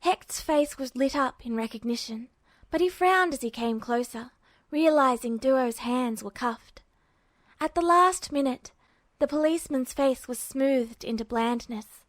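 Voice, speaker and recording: A woman narrates a piece of fiction from a script. Her voice sits fairly high, and the recording is dry with very little room sound. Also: measured pace, no room sound. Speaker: narrator, podfic reader.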